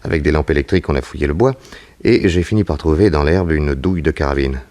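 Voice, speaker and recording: A man playing a calm, self-assured private detective in a 1960s French radio drama, composed and reassuring. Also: very deep voice, fast. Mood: explaining. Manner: composed, reassuring, probing with short questions.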